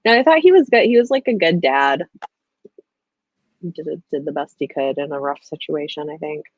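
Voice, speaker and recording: A woman's voice: sits low, animated, moderate pace, dry recording, muffled recording. A woman in a casual, informal book chat, speaking in an agreeable, hedging way as host. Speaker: host of a book discussion livestream.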